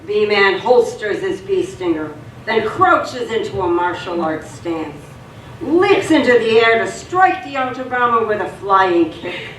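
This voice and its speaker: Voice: medium pitch, expressive, measured pace, a bit bright. Radio theatre narrator: scripted dramatic read, echoey and a little distant from the mic. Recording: echoey room, distant mic, faint noise.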